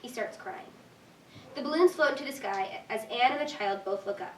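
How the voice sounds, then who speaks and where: Female narrator, scripted stage directions, slightly high voice, distant roomy recording, faint noise. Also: expressive, normal speed.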